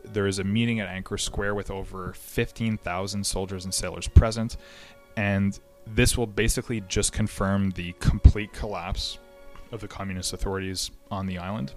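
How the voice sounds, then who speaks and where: Man, very low voice, casual history podcast host, lots of ums and restarts, faint background music. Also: fairly even intonation, moderate pace, a little mellow. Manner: conversational, many fillers and restarts. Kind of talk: casual explanatory history talk.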